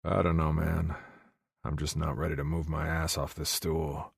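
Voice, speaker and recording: A man with a husky voice reading first-person romance audiobook narration. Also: very deep voice, flat delivery, normal speed, dry recording, studio quality.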